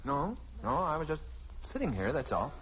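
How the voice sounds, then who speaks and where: An actor playing an affable, slightly awkward gentleman suitor visiting as a guest in an old-time radio family sitcom. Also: on the high side, very animated, moderate pace. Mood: politely awkward. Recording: muffled old radio recording, faint noise.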